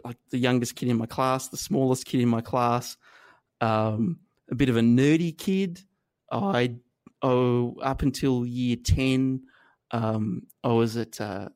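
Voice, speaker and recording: An Australian man in his thirties, a guest reflecting on his past in a halting, self-deprecating way, with many restarts and fillers. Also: mid-range voice, darkish tone. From northern New South Wales, Australia. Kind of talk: reflective conversational interview.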